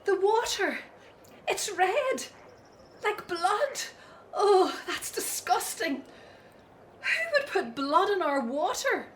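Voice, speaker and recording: A woman's voice: extremely high pitch, big pitch swings, takes it slowly, long pauses, slight background noise, full character voice. A woman playing a dutiful, outspoken grown daughter in an audio drama set in ancient Egypt. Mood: alarmed, disgusted.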